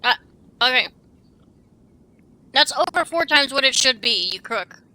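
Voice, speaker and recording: High-pitched, slangy woman streaming a game. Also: expressive, measured pace, sharp tone. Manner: slangy, muttering asides. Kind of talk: casual livestream reactions over gameplay. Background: game music.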